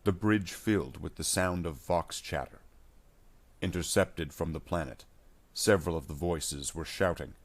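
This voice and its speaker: A man reading scripted dramatic narration of a war story in a measured storytelling delivery, without putting on character voices. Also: low voice.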